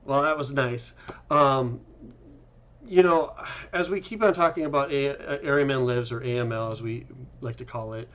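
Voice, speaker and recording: A man's voice: medium pitch, normal speed, fairly bright tone. A man hosts an interview in a casual, chatty way. His audio is slightly dull and lo-fi, as on a call. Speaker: host.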